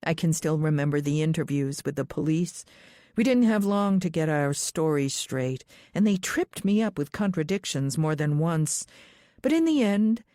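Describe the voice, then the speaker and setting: A woman narrates a thriller audiobook in the first person. Her voice sits low, and the sound is dry with no room around it. The delivery is scripted storytelling. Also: dark tone, no room sound.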